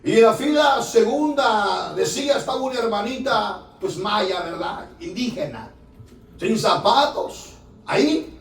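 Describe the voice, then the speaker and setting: A man, a pastor, preaching a fervent sermon that rises to shouts, recorded in a church from off the mic. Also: sits high, animated, unhurried, far from the mic. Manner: fervent preaching, rising to shouts, filler "hermano". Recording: echoey church room, voice from off-mic.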